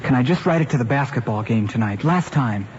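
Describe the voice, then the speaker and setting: A man's voice: mid-range voice, expressive, brisk, a little mellow, highs cut off, lightly acted. American lad of eighteen played by a man, mid-range voice, aggrieved and earnest, slangy radio drama, noisy old recording. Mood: resigned, sulky. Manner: plain, slangy, protesting. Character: aggrieved, earnest eighteen-year-old son losing his motorcycle.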